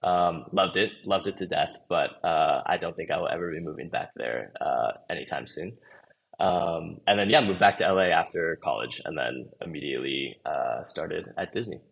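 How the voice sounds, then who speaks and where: A young man from Los Angeles, guesting on a podcast, talks in a loose, chatty, easygoing way. His voice sits very low with a gravelly, fry-laden edge. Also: moderate pace, fairly bright tone. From Los Angeles, USA. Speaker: podcast guest, marketing manager. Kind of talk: casual interview chat.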